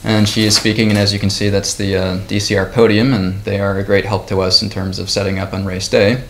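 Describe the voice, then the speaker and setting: A man, a swimming club president, speaking as a guest in a measured, thoughtful way. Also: lowish voice, level tone, poor audio. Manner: thoughtful, measured, uses fillers. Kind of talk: conversational TV interview.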